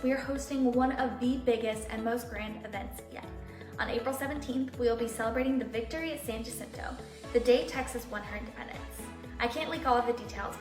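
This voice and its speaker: Upbeat woman reading scripted announcements. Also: a little high. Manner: upbeat, promotional. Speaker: announcer in a promo video. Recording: echoey voice over music.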